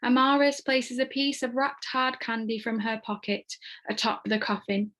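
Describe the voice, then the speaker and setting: A woman with a fairly high voice reads screenplay action for a table read. Her delivery is scripted and descriptive, moving through scene headings and stage directions. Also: normal speed, fairly bright tone.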